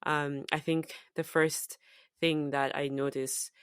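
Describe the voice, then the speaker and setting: A woman from Zambia whose accent is softened by years in the US and UK, co-hosting a podcast in casual, reflective chat with frequent fillers and restarts. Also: low voice, level tone, slow pace, clear, resonant tone, dry recording, studio quality. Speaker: podcast co-host.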